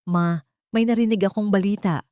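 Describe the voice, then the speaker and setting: A woman's voice: mid-range voice, fairly even intonation, moderate pace, dark tone, no echo, lightly acted. An anxious, pleading young heir from a family melodrama, read by a woman. The voice is dry and close. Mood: hopeful. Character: desperate adult son of a failing rich family.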